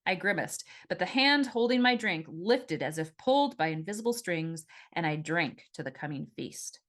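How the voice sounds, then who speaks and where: A slightly low-voiced woman reads a first-person story aloud. Her delivery is expressive and scripted, with very animated pitch movement, as an author narrating her own audiobook. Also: normal speed.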